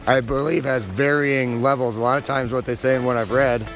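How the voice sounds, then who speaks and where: A man narrating his backpacking trip to the camera outdoors on a trail, chatty and self-deprecating, his voice going hoarse. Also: mid-range voice, steady tone, a little fast, fairly bright tone, soft background music, low-quality recording. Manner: chatty, self-deprecating, addresses viewers directly. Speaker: backpacking vlogger. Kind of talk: casual vlog narration on the trail. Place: outdoors on a hiking trail by a creek.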